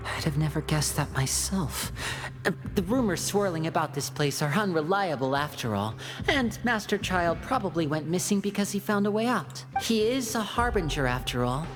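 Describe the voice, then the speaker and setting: Woman doing a calm clever young magician guy from a game, lively intonation, music under it. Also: fairly low pitch, moderate pace, natural voice. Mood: composed, thoughtful. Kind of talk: video game voice acting.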